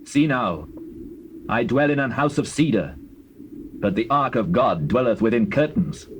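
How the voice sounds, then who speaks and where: A man's voice: mid-range voice, animated, a little slow, a little hiss or hum, close to an everyday voice. An actor playing a proud, devout king of Israel in a scripture drama, earnest and declamatory. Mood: reflective.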